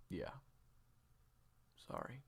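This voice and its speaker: A man playing a weary, forgiving young man in an audio drama, speaking in terse, casual, reassuring phrases. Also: a bit bright. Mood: grim. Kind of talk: audio roleplay drama acting.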